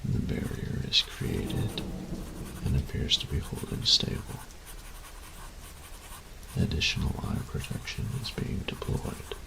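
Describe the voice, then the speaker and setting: A man playing a meticulous, reclusive artificer in an audio roleplay, dictating formally and precisely in a gravelly, breathy voice. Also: slow, long pauses, background noise, lightly acted. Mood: formal, focused. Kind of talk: scripted audio roleplay acting.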